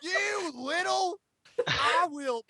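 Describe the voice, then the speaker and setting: A woman's voice: very high-pitched, lively intonation, extremely slow, creaky, pushed and bright, a little hiss or hum. A woman joking in character with exaggerated, mock-threatening delivery.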